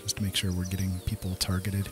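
Male gaming host, low register, casual running commentary over clear background music. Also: completely flat delivery, quick pace. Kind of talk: casual let's play commentary. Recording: game music behind voice. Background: game music and outdoor nature sounds.

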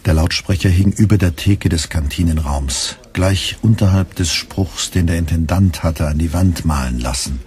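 An actor playing a weary male radio editor who narrates in a measured, precise, dry literary style. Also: low-pitched, darkish tone, close to an everyday voice.

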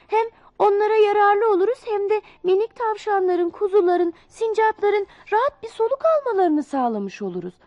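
A woman voicing a kind, shy, soft-hearted kestrel in a storybook tale, speaking gently and earnestly. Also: extremely high pitch, dry recording, lo-fi sound, voice pushed up.

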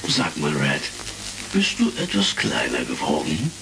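A man's voice: extremely low pitch, huge pitch swings, a little slow, gravelly, full character voice. A man playing a genial, joking secret service chief in a retro science-fiction radio drama. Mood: jovial. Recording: crackly vintage record, distant, music behind.

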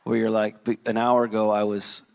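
Halting low-voiced man, worship guest. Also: level tone, vocal fry. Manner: searching, halting, with stammered restarts. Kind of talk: conversational ministry interview.

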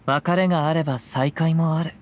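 A man voicing a gentle, reflective young wandering samurai in a video game, speaking calmly and poetically in archaic phrasing. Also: on the high side, normal speed, lightly acted. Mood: calm, wistful. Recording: music behind the voice.

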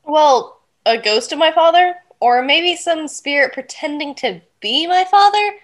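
A woman's voice: high voice, expressive, unhurried. A woman talking casually as a player in a tabletop role-play game.

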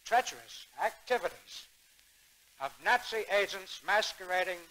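An American man making a prepared 1930s protest speech with deliberate, oratorical phrasing. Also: sits high, expressive, pushed and bright, poor audio. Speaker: speaker at town meeting. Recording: vintage 1930s newsreel recording.

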